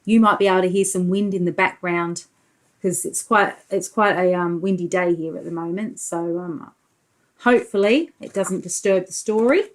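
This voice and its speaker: A woman's voice: fairly low pitch, fairly even intonation, moderate pace. A woman preschool teacher reading a storybook aloud to young children, expressively, explaining as she goes and putting questions to the listeners.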